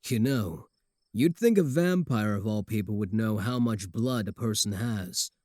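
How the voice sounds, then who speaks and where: Sardonic male werewolf suitor, played by a man, comedic ASMR roleplay: slightly deep, possessive, taunting, recorded dry and close. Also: measured pace, darkish tone, no room sound, lightly acted. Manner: taunting, sardonic, doting on the listener.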